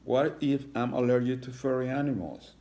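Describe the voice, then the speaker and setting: A man reads the part of a shy little iguana boy in a children's storybook. He sounds anxious and hesitant, asking questions, the pitch lifted a little. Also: normal speed, mellow, lightly acted. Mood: worried.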